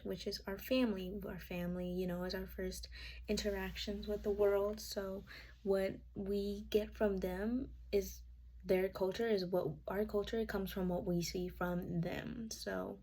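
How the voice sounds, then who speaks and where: A woman's voice: medium pitch, fairly even intonation, moderate pace, mellow, a little hiss or hum. A young woman whose first language is Spanish, giving an informal class presentation from her notes, casual with fillers and self-corrections. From United States. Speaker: student.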